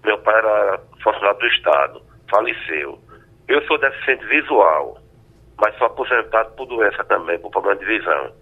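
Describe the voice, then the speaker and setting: A man calling in to a radio show by phone, explaining his family situation in a hesitant, halting way. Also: fairly low pitch, expressive, breathy edge. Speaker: radio caller. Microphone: phone held away from mouth. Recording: telephone line, faint from the mic.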